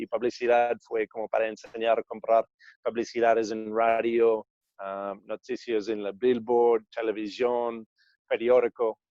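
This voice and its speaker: A man in his thirties from Australia, speaking Spanish as a second language with an accent between Australian and American, answering interview questions haltingly with many fillers. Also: medium pitch, darkish tone, dry recording, highs cut off. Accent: Australian-American English speaker of Spanish. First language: English. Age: 30s. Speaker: guest, entrepreneur.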